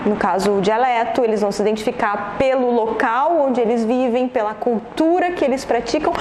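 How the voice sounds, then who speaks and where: Female historian interviewee, southern Brazilian accent, fairly high voice, reflective and explanatory, echoey room with slight noise. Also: darkish tone. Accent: southern Brazilian, Rio Grande do Sul. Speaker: historian and author being interviewed.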